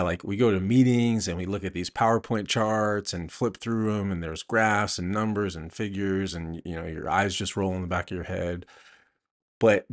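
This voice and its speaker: A man answering interview questions conversationally as an author and host, thinking aloud haltingly with many a "you know". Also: low-pitched.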